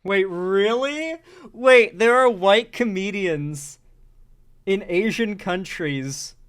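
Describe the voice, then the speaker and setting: A man hosting a casual commentary channel, reacting to a video in a conversational way with excited outbursts. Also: sits high, slow, clear, resonant tone. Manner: conversational, exclaims in excited bursts.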